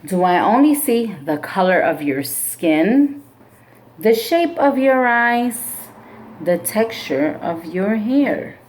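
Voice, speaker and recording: A woman teacher reads a children's book aloud to her class. Her delivery is slow and deliberate, and her pitch swings widely from line to line. She keeps the same unhurried pace when she explains the class activity. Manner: slow, expressive storybook read-aloud. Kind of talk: reading a picture book aloud to students; classroom instructions.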